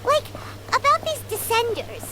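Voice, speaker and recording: Very high, squeaky little fairy companion. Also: female voice, huge pitch swings, unhurried, over a noisy crowd, exaggerated voice, rough recording. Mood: inquisitive. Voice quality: squeaky, childlike. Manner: eager, exclamatory. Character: small, childlike floating fairy companion, chirpy and curious. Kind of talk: video game voice acting.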